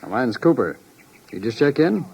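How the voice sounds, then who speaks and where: A man's voice: mid-range voice, sing-song, unhurried, gravelly, dark tone, slightly rough, exaggerated voice. An actor playing a genial, urbane and self-possessed man on holiday, speaking with leisurely refinement in a 1950s radio drama. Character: genial, urbane male resort guest, smoothly self-possessed.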